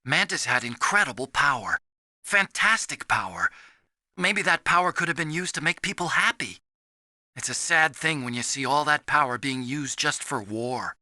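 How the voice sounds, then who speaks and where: Casting: timid, kindly young scientist in a video game. Sharp, lively, dry close recording, earnest delivery. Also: male voice, a little high, moderate pace, no room sound. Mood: wistful. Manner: earnest, anxious, explanatory. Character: earnest, timid young male scientist, soft-hearted and nerdy.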